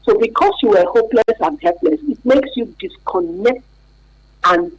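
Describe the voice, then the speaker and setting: A woman's voice: on the high side, sing-song, moderate pace, gravelly, slightly rough, no room sound, rough recording. A woman with a Nigerian accent, a therapist guest explaining things emphatically in a radio interview, repeating key words and checking that the listener follows.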